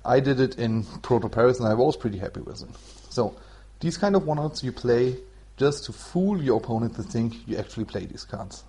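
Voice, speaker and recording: A man from Germany with a German accent, hosting a solo podcast in an explanatory, somewhat halting non-native way. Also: medium pitch, lively intonation, dark tone, slightly rough. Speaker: podcast host. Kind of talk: explanatory solo podcast talk about card game strategy.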